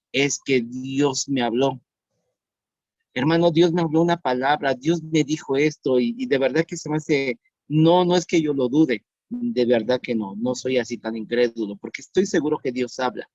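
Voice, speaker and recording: 50s pastor man preaching online, earnest, says questions again slow, dry dull sound. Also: medium pitch, no room sound. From Mexico. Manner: earnest, repeats questions slowly for emphasis. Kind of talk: online sermon.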